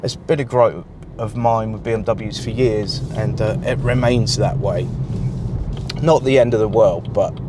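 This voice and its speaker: A British man in his forties, croaky and nasal with a cold, chatting wryly about his car while driving, on a lapel mic. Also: fairly low pitch, unhurried. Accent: British English. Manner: chatty, wry, grumbling about small gripes. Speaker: car reviewer. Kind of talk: casual car review vlog. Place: driving a car on suburban roads. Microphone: clip-on lapel mic. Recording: loud car noise in background.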